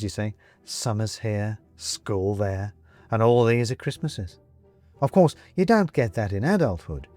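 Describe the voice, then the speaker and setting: A man voicing a jovial, persuasive ghost gentleman in an audiobook, with a British accent and clipped, chummy, old-fashioned upper-class phrasing. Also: medium pitch, no echo, lightly acted. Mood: proud, explaining. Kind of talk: audiobook character acting.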